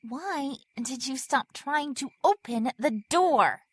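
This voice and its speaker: A woman's voice: sits high, lively intonation, slow pace, slightly rough, dry recording, full character voice. A woman playing an injured, sarcastic teenage mean girl in an audio drama, biting and demanding. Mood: demanding, pained.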